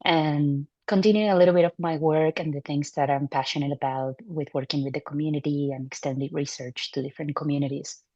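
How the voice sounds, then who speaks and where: A woman in her thirties from Venezuela, a neurology resident, answering interview questions in a relaxed, thoughtful way with fillers and restarts. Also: low voice, brisk, hardly any pauses, dry recording. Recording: muffled dry audio.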